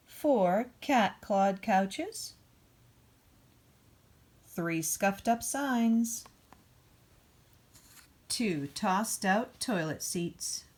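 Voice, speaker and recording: A woman's voice: mid-range voice, a little mellow. A middle-aged woman, a pre-kindergarten teacher, talking to young children and reading a picture book aloud. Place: indoor room at home.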